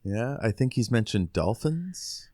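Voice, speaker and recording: A man's voice: mid-range voice, big pitch swings, a little slow, mellow, no room sound, clean studio sound. A man co-hosting a podcast, talking casually about a book in a laid-back way. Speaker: podcast co-host.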